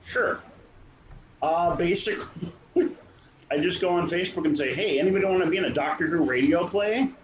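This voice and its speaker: Man, slightly high voice, rambling director in casual discussion, echoey room, muffled sound. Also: normal speed, pauses often. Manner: rambling, repeats and rephrases himself. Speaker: radio play director and troupe leader. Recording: roomy dull sound with faint noise.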